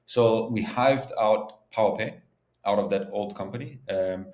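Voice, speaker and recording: Man from Denmark, low pitch, conversational interview guest, informal explainer, echoey room. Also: measured pace, darkish tone. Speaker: startup founder and CEO, interview guest. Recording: echoey, roomy sound.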